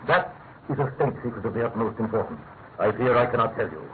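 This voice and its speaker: A man's voice: mid-range voice, lively intonation, lightly acted. Tinny radio British Prime Minister played by a man. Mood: stiff, dignified. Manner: weighty, deliberate, formal. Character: austere, dominant elder statesman, grave Prime Minister. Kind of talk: classic radio drama acting. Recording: thin narrow-band old radio recording, faint noise.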